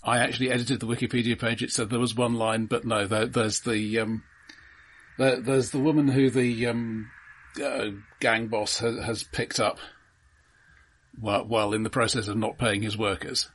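A man co-hosting a film podcast, talking casually in a halting, analytical way. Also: medium pitch, little pitch movement, fairly bright tone, slightly rough.

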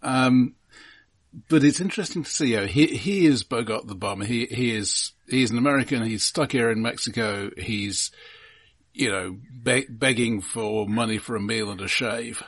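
A man co-hosting a film podcast, talking casually in a thoughtful, halting way and often correcting himself. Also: medium pitch, husky, no room sound. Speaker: podcast co-host.

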